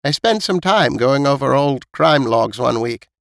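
A man's voice: medium pitch, expressive, unhurried, gravelly, fairly bright tone, no room sound, studio quality, voice pushed up. A man voicing a stern, blunt elderly woman who knows more than others, in an audiobook story. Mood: grave, matter-of-fact.

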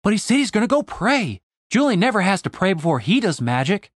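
A man's voice: high-pitched, sing-song, a little fast, no room sound, clean studio sound, pitched above the performer's own voice. A man doing a frazzled, foul-mouthed young woman. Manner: shouting, exasperated.

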